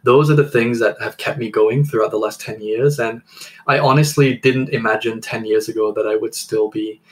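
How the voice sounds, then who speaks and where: A man in his thirties talks in a measured, reflective way, at a middle pitch. He sounds encouraging as he co-hosts a podcast for fellow English teachers. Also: fairly even intonation. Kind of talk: conversational podcast discussion.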